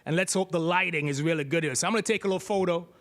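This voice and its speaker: High-voiced playful man demoing on stage. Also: very fast, fairly bright tone, a little hiss or hum. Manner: playful, chatty, jokes with the crowd. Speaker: presenter giving a tech demo. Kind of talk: live stage demo to an audience. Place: stage at a tech meetup. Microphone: handheld mic.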